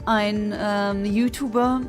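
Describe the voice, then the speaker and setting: A woman's voice: mid-range voice, steady tone, takes it slowly, over music. A woman live-streaming a game with a non-native English accent, chatting casually with viewers and often laughing at her own mistakes, with lots of fillers and restarts. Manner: chatty and self-deprecating, many fillers and restarts. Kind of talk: casual live-stream chat while playing and answering chat.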